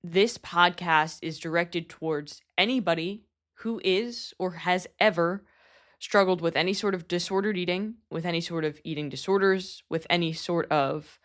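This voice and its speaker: A woman of about thirty hosts her own podcast in a personal monologue. Her voice sits slightly low, and she talks earnestly and candidly with casual swearing. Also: moderate pace. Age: 30s. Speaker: podcast host.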